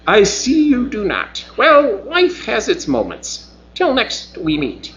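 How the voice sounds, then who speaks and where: A man plays a polite alien partner in a sci-fi audiobook. The voice is lifted high and kept quiet, formal and precise. The sound is slightly muffled. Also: wildly swooping pitch, takes it slowly, a little hiss or hum, heavily acted. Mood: gently wry. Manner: formal, courteous, soft.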